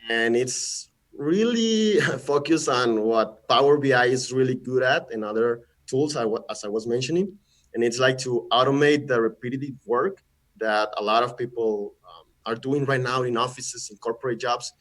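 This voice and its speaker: Male guest presenter, Spanish speaker, average pitch, casual and halting on a call mic. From Mexico. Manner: friendly, halting, often repeats words. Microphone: video call.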